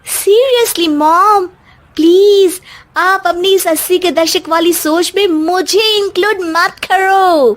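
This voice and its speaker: A woman's voice: extremely high pitch, slow pace, pitched above the performer's own voice. A woman voicing a spoiled, brazen young modern daughter-in-law in a cartoon story, flippant and mixing English words in. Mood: scornful. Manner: flippant, mixes English into Hindi. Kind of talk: animated cartoon story dialogue.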